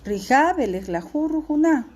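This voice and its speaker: A woman teacher, giving a recorded class lesson in a clear, instructive way and repeating key words slowly. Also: sits high, lively intonation, a little mellow, slight background noise.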